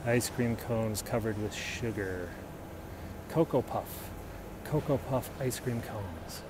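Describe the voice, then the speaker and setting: A middle-aged man from the United States chats casually on a handheld phone as he walks outdoors, answering viewers as he goes. His voice sits a little low. Steady outdoor noise runs under the talk. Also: slow. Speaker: livestreamer. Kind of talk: casual live-stream chat while hiking. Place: outdoors on a hilltop walk. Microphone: phone held while walking. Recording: noisy outdoor phone recording.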